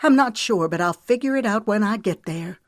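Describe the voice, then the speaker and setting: Woman reading an embittered young Southern wife, Southern US accent, audiobook delivery, voiced higher and sharper than the narration. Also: lively intonation, normal speed, no echo, studio quality, natural voice. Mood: resolute. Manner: impassioned, sharp and raised.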